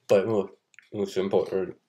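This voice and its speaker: A man's voice: sits low, mellow. A young man talking casually to a camera in a skit, in his bedroom. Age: young adult. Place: small bedroom, indoors. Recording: noisy home recording with clicks.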